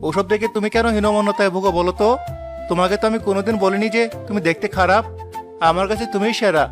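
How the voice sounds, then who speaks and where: A man's voice: high voice, fairly even intonation, fast talker, music in the background, natural voice. A man voicing a practical, long-suffering village husband in a cartoon drama, scolding and reasoning in an exasperated way. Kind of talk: animated moral-story drama acting.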